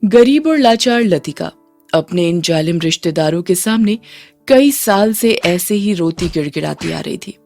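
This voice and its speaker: A woman's voice: on the low side, a little slow, creaky, darkish tone, soft background music. A woman giving dramatic scripted narration of a moral story.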